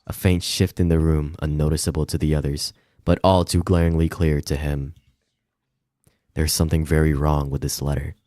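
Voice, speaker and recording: A man reading a visual novel aloud as dramatic, measured narration. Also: very deep voice, little pitch movement, mellow, no room sound, clean studio sound.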